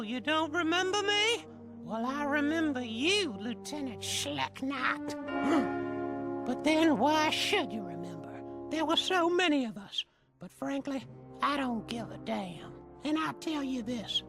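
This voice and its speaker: An actress playing a vengeful, taunting woman confronting an old enemy in a menacing, deliberate, theatrical way. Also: high-pitched, animated, vocal fry, music in the background, exaggerated voice. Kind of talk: dramatic film-style villain acting.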